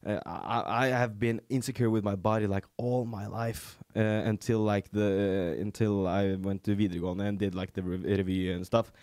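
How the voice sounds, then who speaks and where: A man's voice: fairly low pitch, fairly even intonation, moderate pace, few pauses, darkish tone, clear, resonant tone, no room sound, studio quality. A young man from rural Norway, a native Norwegian speaker, talking in a casual podcast chat with many fillers and restarts. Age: young adult. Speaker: podcast guest, comedian. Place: small studio room. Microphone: studio mic on boom arm, headphones.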